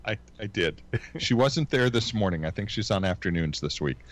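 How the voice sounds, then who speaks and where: A man with a low voice that swings lively up and down co-hosts a comedy podcast. His remarks come out dry and wry. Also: normal speed. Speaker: podcast co-host. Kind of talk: casual comedy chat.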